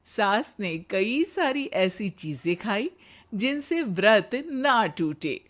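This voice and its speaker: A woman's voice: mid-range voice, big pitch swings, takes it slowly. A woman giving scripted narration for a Hindi moral story.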